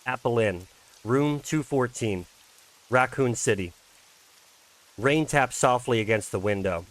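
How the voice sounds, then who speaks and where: A man with an average-pitched voice presents his own visual novel. He speaks as an amateur creator, moving between casual explanation and read-aloud story narration. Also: expressive, unhurried, poor audio.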